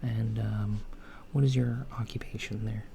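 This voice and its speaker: A man playing a calm alien doctor disguised as a human, speaking softly and hesitantly in a gentle, clinical ASMR roleplay. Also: on the low side, unhurried. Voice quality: soft, breathy, near whisper. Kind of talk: soft-spoken ASMR roleplay. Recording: lo-fi with clear background noise.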